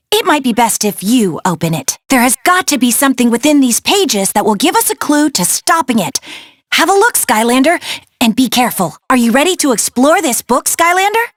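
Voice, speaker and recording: A woman's voice: sits high, a bit bright, no room sound. A woman playing a brave, caring young woman who guides heroes through battle in a video game, urging and encouraging them as she gives directions. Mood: cautious, serious. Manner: urgent, encouraging mission directions. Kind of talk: video game character voice lines.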